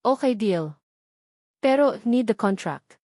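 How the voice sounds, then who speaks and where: A woman's voice: on the high side, lively intonation, slow pace, darkish tone, dry recording, clean studio sound. A woman voicing an assertive, self-assured young rich woman driving a bargain in an audiobook story. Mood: self-assured, demanding.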